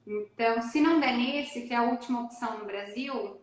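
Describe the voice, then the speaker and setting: A woman in a casual live chat with a fairly high voice, telling her story with emotional, animated energy. She is on a live video call in a very echoey room. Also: a little fast. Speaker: guest mother. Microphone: phone or laptop on a live video call.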